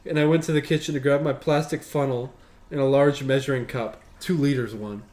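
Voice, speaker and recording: A man's voice: mid-range voice, fairly even intonation, brisk, a little mellow. A man reading a story aloud as a show host, breaking off for casual, sweary commentary.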